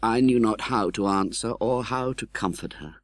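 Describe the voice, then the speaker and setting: A British man playing an earnest, tender-hearted gentleman who recounts his own life in a dramatic, measured audiobook narration. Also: fairly low pitch, no echo, studio quality, natural voice. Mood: reflective, sombre. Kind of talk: dramatic literary audiobook narration in first person.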